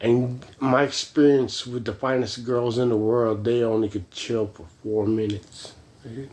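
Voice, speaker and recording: Casting: American male vlogger, forties, medium-pitched voice, loose slangy delivery that wanders and stalls. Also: little pitch movement, darkish tone. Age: 40s. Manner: meandering, slangy, halting. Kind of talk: rambling casual chat.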